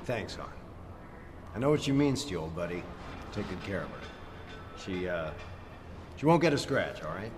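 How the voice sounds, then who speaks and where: An actor playing a confident, teasing space pilot and loyal friend in a radio drama. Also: male voice, low-pitched, sing-song, moderate pace, long pauses. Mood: cocky, teasing. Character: cocky, smooth-talking male space pilot, loyal friend. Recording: long reverb, music underneath.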